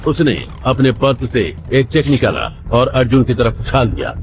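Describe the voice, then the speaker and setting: A man's voice: medium pitch, expressive, moderate pace, noisy background. A man narrating a dramatic audio story in a scripted storytelling style. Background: background music.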